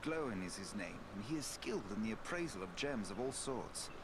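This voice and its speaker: An actor playing a learned, dignified and welcoming elven smith in a fantasy game, formal, measured and explanatory in delivery. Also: male voice, lowish voice, animated, noisy background, close to an everyday voice. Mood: earnest, helpful. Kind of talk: fantasy video game voice acting.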